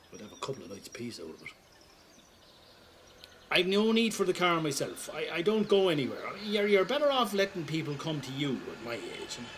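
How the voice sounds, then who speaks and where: Over noticeable background noise comes an actor's turn as an older Irish man in an audio drama monologue. His fairly high voice swings widely up and down as he rambles through his tale. He is a wry, callous rogue who swears freely and sounds like he is yarning in a pub. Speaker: storyteller. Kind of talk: dramatic monologue told as casual pub-style anecdote.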